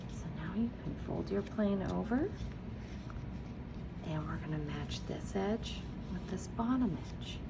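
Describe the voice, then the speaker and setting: A woman's voice: mid-range voice, gravelly, heavy background noise. A woman teacher giving patient, encouraging step-by-step craft instructions to young children, often asking a question and answering it herself. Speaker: preschool teacher, host of a children's read-aloud and craft video.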